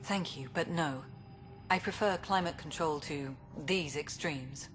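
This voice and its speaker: A woman director of a space colony in a game cutscene. Her voice sits a little low, with clipped, formal delivery and a dry, exacting temper. Music is clearly heard behind her. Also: a little slow. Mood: cool, guarded. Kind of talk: video game cutscene acting.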